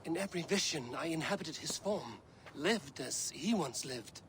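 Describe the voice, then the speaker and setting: Solemn man with measured delivery. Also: on the high side, animated, creaky, a bit bright, a little hiss or hum. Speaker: protagonist. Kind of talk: video game voice acting. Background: game music.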